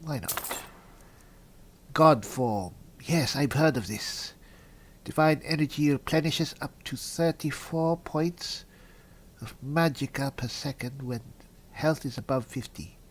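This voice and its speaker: A man plays through a fantasy roleplaying game, speaking as his character. He is polite and thinks out loud, shifting between in-character lines and reading game text at a medium pitch. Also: slow. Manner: polite, musing aloud, reads spell descriptions out. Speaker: gaming video host and player.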